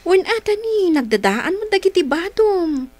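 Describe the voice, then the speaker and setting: A woman playing a caring, chatty wife in a radio drama, conversational and expressive, with an Ilocano accent. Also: very high voice, a little slow, no echo, heavily acted. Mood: reassuring. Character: caring, chatty wife and older sister-in-law.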